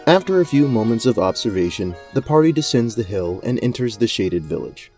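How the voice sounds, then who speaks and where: Male game master narrating fantasy, lowish pitch, dark mellow tone, soft music underneath. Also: sing-song, a little fast, slightly rough.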